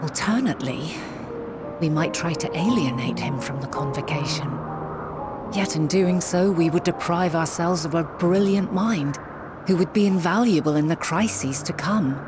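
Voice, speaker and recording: An actress plays a wise ancient woman leader in a fantasy game, with a low voice over prominent music. Her delivery is measured and solemn, with formal, archaic diction and a resolute core. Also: natural voice. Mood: grave, resolute. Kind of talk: dramatic scripted game acting.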